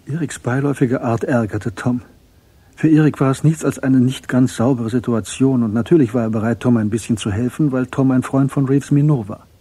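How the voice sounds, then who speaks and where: A man narrating a crime radio drama in a sober, measured storytelling style with a husky voice. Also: mid-range voice, steady tone, mellow, no echo, natural voice.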